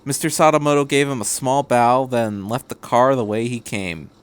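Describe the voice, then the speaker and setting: A man's voice: mid-range voice, normal speed, smooth. A man reading a piece of fan fiction aloud as a scripted story narration.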